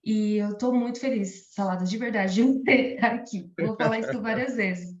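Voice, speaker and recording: A woman's voice: fairly high pitch, normal speed. A young woman in her twenties co-hosting as interviewer, eager and chatty, laughing often. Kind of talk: casual podcast talk. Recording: echoey, dull-sounding room.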